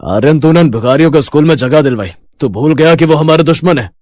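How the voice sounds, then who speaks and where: A man's voice: on the high side, fast talker, a little mellow, no echo, clean studio sound, lightly acted. A man voicing an angry, domineering father, a village landowner in a cartoon story, stern and scolding. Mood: furious. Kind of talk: Hindi moral-story cartoon voice acting.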